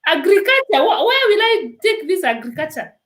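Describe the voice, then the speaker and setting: A woman's voice: extremely high pitch, big pitch swings, brisk, roomy sound. A woman from Kenya with a Kenyan accent, a nurse speaking as an interview guest in an earnest, urging way. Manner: earnest and exhortative, repeats "let me tell you". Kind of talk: emphatic testimony in an interview.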